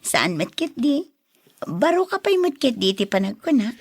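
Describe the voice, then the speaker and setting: A woman's voice: on the high side, sing-song, moderate pace, breathy edge, no echo, exaggerated voice. A woman with an Ilocano accent playing a coy young woman in a radio drama, often drawing out her interjections. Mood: coy, casual. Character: Claire, coy young woman being courted. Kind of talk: Filipino radio drama acting.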